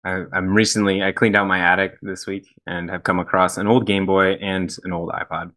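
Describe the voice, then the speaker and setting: Male host on a video call; quick, upbeat, slightly low and bright tone. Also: level tone. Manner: quick, upbeat, loose restarts. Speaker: host, head of product. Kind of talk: hosting a video call panel.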